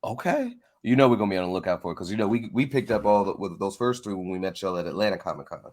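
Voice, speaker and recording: A man's voice: fairly low pitch, expressive, rapid-fire, darkish tone. A man hosting a casual interview, talking in an informal way and often saying y'all. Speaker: interviewer and show host.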